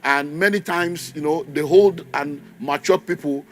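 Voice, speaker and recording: A man's voice: on the high side, a little slow, slight background noise. A man in his fifties with a Nigerian accent, preaching a sermon in a church, stressing and repeating key phrases and leading the congregation in call and response. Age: 50s.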